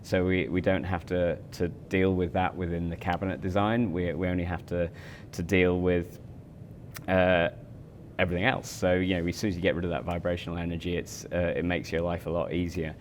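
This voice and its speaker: A man's voice: low voice, level tone, brisk, slight background noise. A man in his forties explaining a product in a hotel demo room, stumbling over repeated words and fillers. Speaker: speaker company representative.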